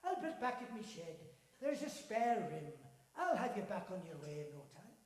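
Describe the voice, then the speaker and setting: Man putting on a hearty old Irish guy, booming, shouted, very lively swings in pitch, echoey hall. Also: normal speed. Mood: helpful. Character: hearty older Irish man, kindly and loud. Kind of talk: acted character in live storytelling. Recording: echoey hall, live stage.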